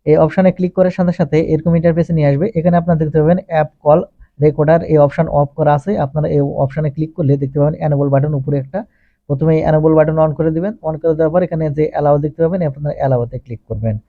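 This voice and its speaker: A man narrates a tech tutorial in a fairly high voice at a very rapid pace. He goes through each step methodically, often repeating his phrasing. The recording is close and dry. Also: fairly even intonation, no room sound, phone-quality sound. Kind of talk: step-by-step instructional voice-over.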